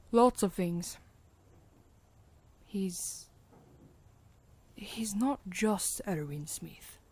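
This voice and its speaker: A woman's voice: mid-range voice, darkish tone, no echo, lightly acted. A woman playing a thoughtful, wry veteran comrade in a conversational audio drama, frank and reflective.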